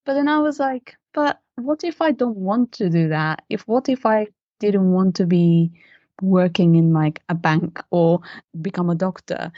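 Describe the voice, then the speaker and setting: Low-voiced woman, muffled audio. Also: darkish tone, dry recording. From Japan. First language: Japanese. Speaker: interview guest, miniature artist. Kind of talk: casual interview chat.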